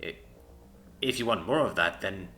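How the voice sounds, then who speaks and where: A man reading a story, voicing an awkward young man who speaks quietly and haltingly. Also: deep voice, lively intonation.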